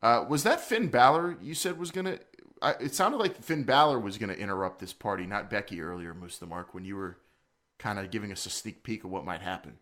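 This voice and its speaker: A man's voice: fairly low pitch, lively intonation, fairly quick, a bit bright. A man hosting a casual wrestling talk podcast, joking and rambling with frequent restarts. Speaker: podcast host.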